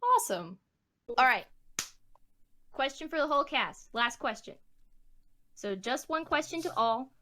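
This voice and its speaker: Female host for an informal cast Q&A, slightly higher-pitched voice, conversational delivery. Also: lively intonation, measured pace, long pauses, bright, forceful tone, a little hiss or hum. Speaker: host reading questions to a cast. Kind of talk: casual chat.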